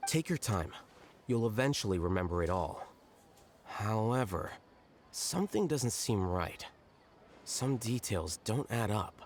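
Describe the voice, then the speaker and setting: An actor playing a self-assured, blunt, analytical young scholar in a video game, speaking in a measured, matter-of-fact way. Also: male voice, fairly low pitch, sing-song, slight background noise. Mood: cool, questioning.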